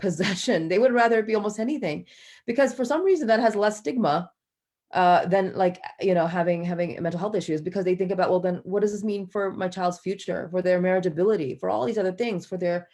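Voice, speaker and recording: A woman's voice: lowish voice, a little mellow. A woman giving a candid answer on an online panel, emphatic, building her points through repeated phrasing. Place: online video call.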